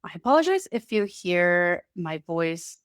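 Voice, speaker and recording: A woman giving a casual online talk with slides, slightly nasal from a cold, with halting speech and frequent restarts. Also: lowish voice, sing-song, smooth, no echo, clean studio sound. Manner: halting, many restarts and repeated words. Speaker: presenter.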